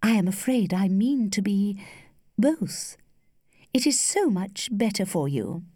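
A woman voicing a worldly, flirtatious lady who teases with cool indulgence in a measured, studied way, as in a storybook. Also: mid-range voice, expressive, warm tone, dry recording, clean studio sound, pitched above the performer's own voice. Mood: teasing, frank. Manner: studied, measured, archly playful.

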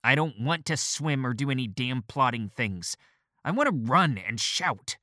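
A man voicing a weary, agitated male doctor who turns shrill and overwrought. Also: sing-song, moderate pace, a bit bright, dry recording, clean studio sound. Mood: restless longing.

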